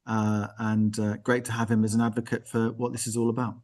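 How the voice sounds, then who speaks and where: A man's voice: fairly low pitch, completely flat delivery, a little fast, a little mellow. A man hosting an informal live interview in a conversational way, often rephrasing and listing as he goes. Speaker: host.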